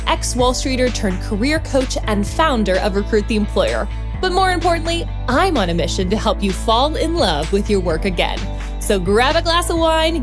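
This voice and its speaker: A woman hosting a career coaching podcast, upbeat and enthusiastic, talking in quick bursts. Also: a little high.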